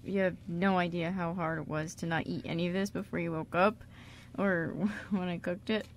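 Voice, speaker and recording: A woman acting a shy, caring, awkward man in a radio drama, speaking haltingly. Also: lowish voice, steady tone, lightly acted. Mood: shyly eager. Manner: hesitant, halting, with little laughs.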